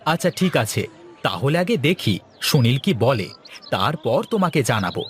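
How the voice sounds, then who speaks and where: A man voicing an agreeable, expressive village shopkeeper, the elder brother in a Bengali cartoon. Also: medium pitch, a little slow. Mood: considerate.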